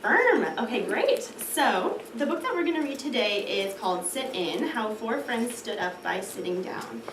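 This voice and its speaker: A woman's voice: a little high, animated, normal speed. A woman speaking as a trainee teacher, rehearsing a classroom read-aloud. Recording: echoey, distant mic, clear background noise.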